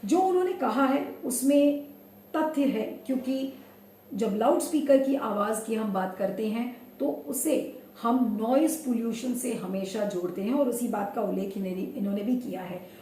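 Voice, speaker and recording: Woman, news commentator, higher voice, mellow tone, English words mixed in, explanatory, pointed delivery. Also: moderate pace. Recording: roomy, distant mic, faint background noise.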